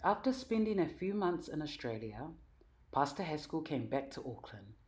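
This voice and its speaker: A woman reading a children's story aloud in an animated, emphatic, expressive way. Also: sits low, moderate pace. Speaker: storybook reader.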